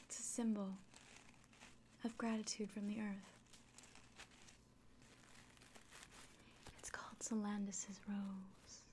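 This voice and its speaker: A woman voicing a kindly, earnest elven innkeeper in a gentle, breathy, unhurried whisper for an ASMR fantasy roleplay. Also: mid-range voice, slight background noise, close to an everyday voice. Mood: reverent, fond.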